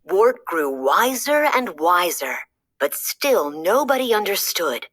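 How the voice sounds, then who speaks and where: A woman reads a children's storybook aloud in a slow, unhurried way. Her voice sits a little low but swoops up and down with lively expression. She leans into each line like a storyteller. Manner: slow, lively storytelling with dramatic pitch swings. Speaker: storybook reader. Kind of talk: children's read-aloud narration.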